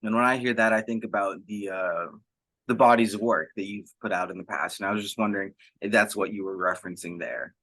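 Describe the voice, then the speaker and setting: A man conducting a thoughtful interview, asking long, reflective questions in a measured way. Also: on the low side, fairly even intonation, sharp tone, no echo.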